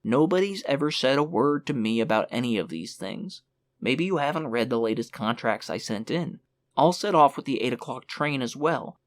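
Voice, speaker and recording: Man acting a flustered young clerk, swinging pitch. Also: lively intonation, close to an everyday voice. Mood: anxious pleading. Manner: hurried, excuse-laden, apologetic. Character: flustered, pleading young male clerk, anxious to please. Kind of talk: audiobook character voice.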